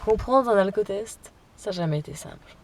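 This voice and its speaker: A woman's voice: mid-range voice, a little fast, a little mellow, a little hiss or hum. A young woman, tipsy and giggly, chatting playfully in a car at night. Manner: giggly, playful, repeats words. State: drunk. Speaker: vlogger. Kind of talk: tipsy casual chat.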